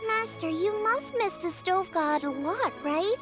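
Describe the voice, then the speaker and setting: Tiny game fairy, very high squeaky childlike voice, animated, curious, music behind. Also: female voice, a little slow. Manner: perky, inquisitive, exclamatory. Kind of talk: video game character acting.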